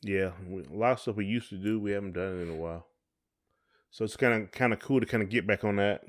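A man's voice: lowish voice, fast. A middle-aged man, chatting casually as a podcast co-host in a folksy, encouraging way.

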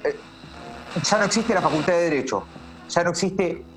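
A man's voice: high-pitched, normal speed, fairly bright tone, soft background music. A man playing an earnest, alarmed news reporter with a Buenos Aires accent, hesitantly relaying urgent bulletins in a radio drama. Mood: horrified. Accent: Buenos Aires Argentine. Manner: hesitant, repeating, apologetic.

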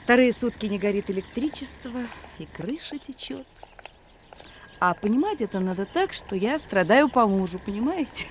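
A woman playing a wistful, self-reproaching stage actress in a radio drama, expressive and theatrical in her delivery. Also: a little high, moderate pace, lightly acted. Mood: earnest, expressive. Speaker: actor. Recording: noisy old radio play recording.

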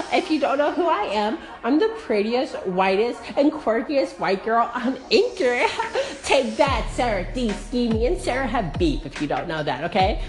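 A woman playing a shallow, self-absorbed young podcaster who talks in a giggly valley-girl drawl. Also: fairly high pitch. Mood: bubbly, then catty. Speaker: host of her own audio show. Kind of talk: comedic parody monologue.

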